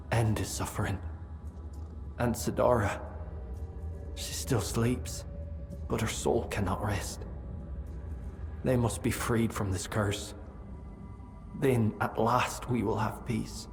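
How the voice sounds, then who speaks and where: A grieving, humble tribesman from a fantasy game, talks in a lowish voice. His delivery is very slow, solemn and halting, with music clearly behind. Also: steady tone. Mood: grave, pleading. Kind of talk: dramatic fantasy video game acting.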